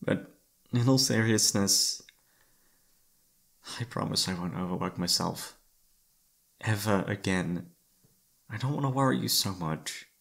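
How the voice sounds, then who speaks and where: A man's voice: lowish voice, a little slow, gravelly, long pauses. A man playing an exhausted, affectionate young boyfriend in an intimate ASMR roleplay, speaking hesitantly with sighs. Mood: apologetic, loving. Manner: tired, sighing, hesitant, soft-hearted.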